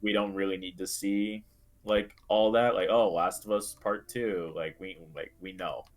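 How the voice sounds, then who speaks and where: A man's voice: fairly low pitch, steady tone, unhurried. A man chatting in an informal, conversational way as co-host of a gaming podcast. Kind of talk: casual gaming podcast chat.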